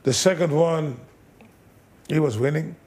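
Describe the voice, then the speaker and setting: A man, a fight trainer, telling animated stories in broken English as an interview guest. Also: fairly high pitch, measured pace, breathy edge. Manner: animated storyteller, broken English, acts out quotes. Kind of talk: casual storytelling interview.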